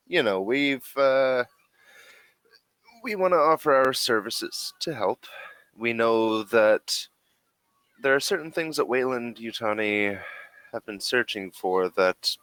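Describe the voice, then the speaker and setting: A man playing a female corporate representative in a tabletop game, halting, diplomatic, evasive and self-promoting. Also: mid-range voice, fairly bright tone, dry recording. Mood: smooth, coaxing.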